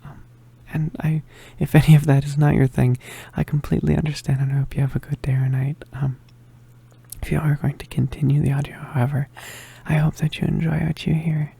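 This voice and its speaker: An ASMR creator giving a casual, soft-spoken introduction in a hesitant, often whispered and breathy voice. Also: medium pitch, flat delivery, brisk, no echo.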